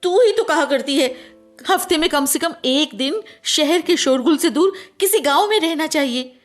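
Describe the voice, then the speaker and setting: Radio play role: teasing, inquisitive young confidante, high voice with big swoops, theatrical. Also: female voice, lively intonation, moderate pace, soft background music. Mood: concerned, questioning. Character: nosy, teasing young woman, the heroine's close friend. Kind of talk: Hindi radio play acting.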